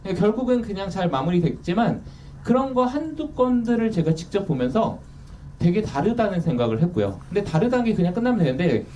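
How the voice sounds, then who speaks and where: A man in his thirties talks candidly as an interview guest, his warm, mellow voice rising and falling with lively swings of pitch. He sits some way from the microphone, and a faint hiss hangs under the sound. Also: fast, muffled recording. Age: 30s. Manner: candid, self-deprecating, lively. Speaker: author guest. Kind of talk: casual interview talk. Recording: distant mic with faint noise.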